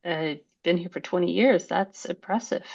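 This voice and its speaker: A woman's voice: lowish voice, normal speed, creaky, darkish tone, highs cut off. A woman co-hosting a casual interview, friendly and laughing often. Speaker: co-host.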